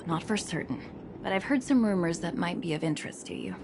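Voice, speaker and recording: A woman's voice: lowish voice, very animated, fairly quick, background noise. A woman playing a wary, street-wise young underworld informant in a video game, guarded and matter-of-fact. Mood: confiding.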